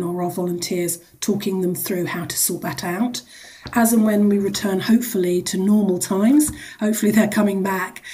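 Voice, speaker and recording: Mellow-voiced woman explaining in an interview. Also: fairly low pitch. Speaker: charity executive, interview guest.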